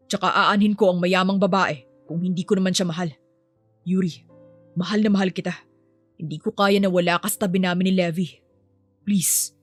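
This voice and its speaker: A woman's voice: fairly low pitch, no echo, lightly acted. A woman voicing a devoted rich young man in love, earnest and insistent, in an audio romance.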